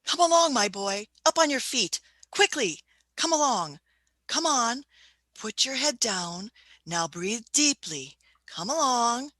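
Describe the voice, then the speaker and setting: A woman acts out an elderly, fatherly doctor in a radio play, with a bright, highish voice. The delivery is reassuring and urging, in short repeated phrases, and the sound is dry. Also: sing-song, slow, dry recording, exaggerated voice. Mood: urgent, coaxing.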